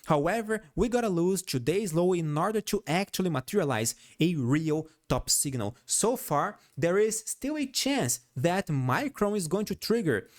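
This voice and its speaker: A man's voice: high-pitched, normal speed, few pauses. A Brazilian man with a Portuguese accent, a non-native English speaker hosting stock chart analysis, who often repeats himself and adds "all right?" to his points. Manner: animated, repeats and restarts phrases, frequent "all right?" tag. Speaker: host.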